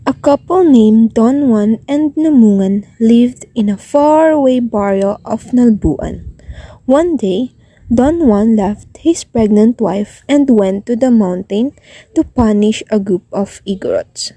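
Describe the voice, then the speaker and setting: A woman's voice: on the high side, slow pace, warm tone, breathy edge, no room sound, rough recording. A woman narrating a folk epic as scripted storytelling.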